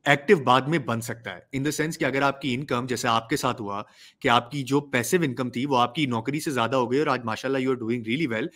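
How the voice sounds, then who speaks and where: A man's voice: mid-range voice, normal speed, a bit bright. Man, medium voice; interview host who code-switches easily. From Pakistan. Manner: mixes English phrases in, eager affirmations. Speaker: podcast host. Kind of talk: interview hosting.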